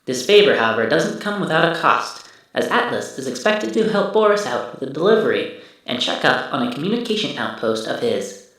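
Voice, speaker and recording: A man voicing a machine memory archive, reciting a recap in a formal, deliberate, dramatic style. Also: medium pitch, very animated, gravelly, a bit bright. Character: male machine memory archive, formally recounting past adventures. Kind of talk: scripted recap narration. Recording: distant voice in heavy echo.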